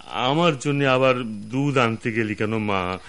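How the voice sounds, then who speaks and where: Slow, gentle, ailing elderly father. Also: medium pitch. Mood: sorrowful, resigned. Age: older. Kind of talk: radio drama acting.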